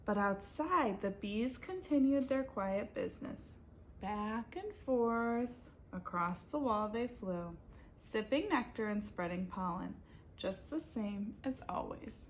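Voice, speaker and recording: A woman's voice: mid-range voice, lively intonation, takes it slowly, slight background noise. A woman reading a picture book aloud expressively, stopping to ask her young listeners questions. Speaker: reader for children.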